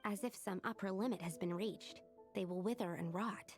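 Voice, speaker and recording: A woman plays a young female alchemist in a video game. Her mid-pitched voice is earnest and analytical, laying things out precisely over faint music. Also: moderate pace, natural voice. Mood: earnest, explanatory. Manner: precise, explanatory.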